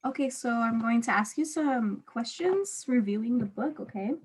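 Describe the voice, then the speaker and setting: A woman teacher with a husky voice reading aloud and patiently putting simple guiding questions to a student. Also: fairly high pitch, animated, moderate pace. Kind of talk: read-aloud and one-on-one comprehension questions.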